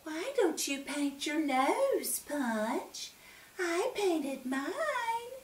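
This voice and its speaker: Twiggy, a woman doing a sweet high girl. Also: big pitch swings, extremely slow, full character voice. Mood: sweet coaxing. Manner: sweet, coaxing, breathy-soft at times. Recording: roomy, distant mic.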